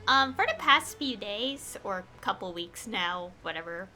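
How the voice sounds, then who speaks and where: A woman's voice: mid-range voice, lively intonation, unhurried, sharp tone, slight background noise. A woman streaming a video game, reading dialogue aloud and commenting casually. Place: indoor livestream setup, game music behind.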